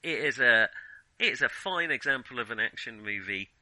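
A man co-hosting a film podcast in casual discussion, giving emphatic opinions and halting as he thinks aloud. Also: mid-range voice, expressive, sharp tone, no echo, rough recording.

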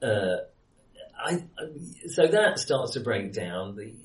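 A man's voice: on the low side, very animated, slow pace. A man co-hosting a casual film discussion, thinking aloud in a speculative, questioning way. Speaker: podcast co-host. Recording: roomy, distant from the mic.

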